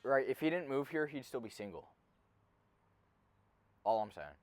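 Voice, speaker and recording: A man's voice: medium pitch, sing-song, moderate pace, no echo. A man of about eighteen co-hosting a podcast in casual chat, joking and slangy, often cutting in on the others. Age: around 18. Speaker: podcast co-host.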